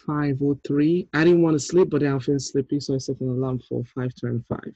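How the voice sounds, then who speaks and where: A man's voice: mid-range voice, level tone, brisk, dark tone. A man around his thirties chatting casually as host on a video call. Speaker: host, filmmaker running a table read. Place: small room indoors, on a video call. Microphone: earphones on a video call. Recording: dull, echoey video-call audio.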